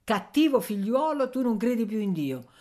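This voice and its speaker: Woman acting a high, earnest motherly older woman. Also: moderate pace, a little mellow, natural voice. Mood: gently reproachful. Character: kindly, pious, naive motherly older woman.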